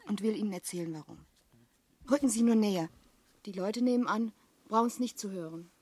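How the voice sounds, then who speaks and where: A woman's voice: medium pitch, fairly quick, a little mellow, close to an everyday voice. Actress as an earnest, confiding young working woman. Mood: urgent, intimate. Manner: intimate, urgent, sincere. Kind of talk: German radio drama acting.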